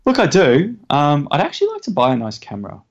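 A man co-hosting a casual podcast, talking hesitantly with many fillers and a self-deprecating manner, feeling low on energy. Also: wildly swooping pitch, quick pace. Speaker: podcast co-host. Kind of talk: casual chat. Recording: dull lo-fi sound.

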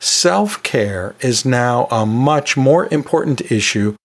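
A middle-aged or older man hosting an educational podcast, talking conversationally and emphatically, with pauses and rhetorical asides. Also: medium pitch, lively intonation. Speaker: podcast host and college instructor.

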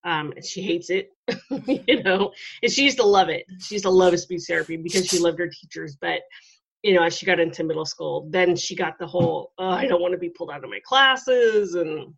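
Lower-voiced woman host on dull lo-fi audio. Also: fairly quick, creaky, a little hiss or hum. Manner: relaxed, reflective, many ums and you-knows. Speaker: podcast host. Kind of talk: conversational interview.

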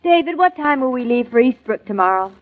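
A woman's voice: sits high, animated, fairly quick, a little hiss or hum. A woman playing a playful, naive, curious young wife in a 1940s radio soap. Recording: muffled 1940s radio recording.

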